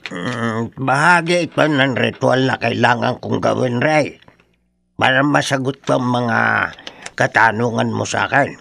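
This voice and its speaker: A solemn male faith healer in a horror radio drama. His voice is heavily gravelly and sounds close and dry, with a measured, mysterious air. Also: medium pitch, fairly bright tone, no echo.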